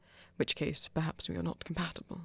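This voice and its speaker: Woman playing a husky, whispering, lovestruck vampire. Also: deep voice, level tone, no room sound, heavily acted. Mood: tender, hesitant. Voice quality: breathy, husky, half-whispered. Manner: halting, gentle, careful phrasing. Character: flirty, tender vampire shyly confessing love to a hunter. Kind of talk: intimate ASMR romance roleplay.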